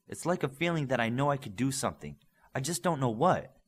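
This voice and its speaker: A man's voice: mid-range voice, very animated, brisk, breathy edge, pitched above the performer's own voice. A man voicing an earnest, modest young male superhero with a questioning manner in an audiobook. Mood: puzzled, curious.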